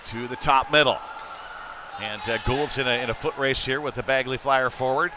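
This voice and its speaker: A man's voice: mid-range voice, steady tone, moderate pace, background noise. A man doing live play-by-play commentary of a youth hockey game, with conversational, somewhat hesitant phrasing between plays. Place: indoor ice rink.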